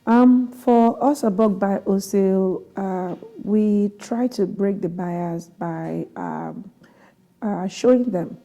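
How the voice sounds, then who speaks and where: A woman's voice: mid-range voice, slow pace, mellow, muffled recording. A woman who owns a wholesale business, earnestly recounting her experience with many hesitations. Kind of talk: interview answers.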